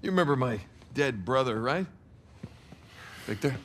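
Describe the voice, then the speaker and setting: An American man in his thirties playing a quick-witted treasure hunter in a video game, talking casually as if improvising a plan. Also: mid-range voice, animated, a little hiss or hum, lightly acted. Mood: urgent, improvising. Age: 30s. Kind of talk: cinematic video game acting.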